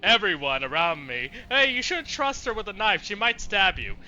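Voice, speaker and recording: Animated, high-pitched man streaming a game. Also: moderate pace, muffled recording, faint music behind. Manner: exasperated, reactive, swears freely. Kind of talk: let's play live commentary, casual and profane.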